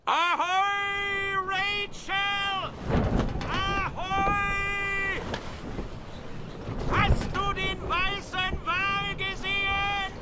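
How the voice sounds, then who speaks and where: An actor playing a sailor in a radio drama, hailing a distant ship in long shouts. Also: male voice, very high voice, monotone, extremely slow, pauses often, heavily acted. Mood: urgent hailing. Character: sailor hailing another whaling ship from afar. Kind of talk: theatrical radio drama acting. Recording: loud sea noise, distant shouting.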